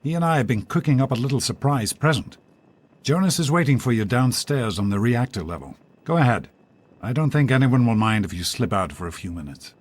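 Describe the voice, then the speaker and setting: An actor playing a calm, caring middle-aged father, reassuring and measured, in a video game. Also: medium pitch, a little hiss or hum, lightly acted. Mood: gently encouraging.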